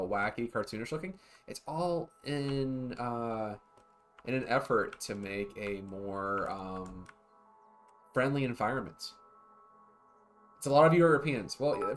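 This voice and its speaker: A man's voice: mid-range voice, slight background noise. A man streaming a zoo-building game, chatting casually and rambling to his viewers as he plays. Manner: laid-back, rambling, talks to chat. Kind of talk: casual livestream chat.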